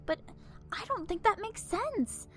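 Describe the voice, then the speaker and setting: A woman's voice: extremely high pitch, expressive, a little slow. A woman playing an earnest, probing young military lieutenant in an anime parody, respectful yet frank. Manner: deferential but frank, careful.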